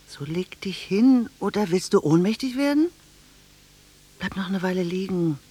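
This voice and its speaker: An actress playing a blunt, wistful middle-aged village cashier in a German radio drama, speaking in a deliberate, intimate way. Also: female voice, mid-range voice, expressive, mellow, breathy edge. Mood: brisk, bossy.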